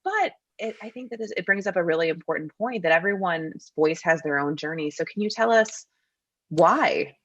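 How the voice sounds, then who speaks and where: Low-voiced woman asking questions. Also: animated, moderate pace, dry recording, dull audio. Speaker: host of medical grand rounds. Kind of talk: interview questions.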